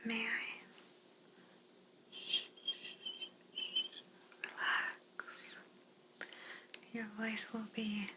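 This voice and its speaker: A woman playing a polite, curious alien examiner in an ASMR roleplay, speaking in a soft breathy whisper with a soothing, clinical manner. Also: mid-range voice, full character voice, rough recording. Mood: soothing, reassuring. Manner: slow, soothing, clinical, courteous.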